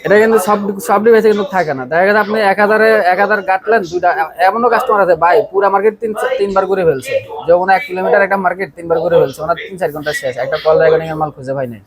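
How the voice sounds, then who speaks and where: A man's voice: high-pitched, steady tone, fast, a crowd in the background. A man with a Bangladeshi accent selling phones in casual chat, insistently repeating his key points. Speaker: phone seller.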